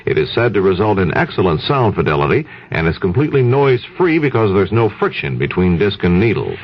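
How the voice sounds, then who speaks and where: A man's voice: on the low side, big pitch swings, fairly quick, husky. An American man reading a scripted news bulletin as a radio anchor, on a dull old 1970s radio broadcast.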